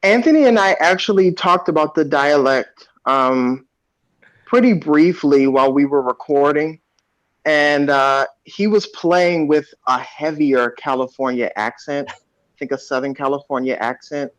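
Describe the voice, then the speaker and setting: A man speaking as an actor on a panel, reflecting in a measured, thoughtful way with pauses, over a video call. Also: fairly high pitch, no room sound. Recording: dull, dry video-call audio.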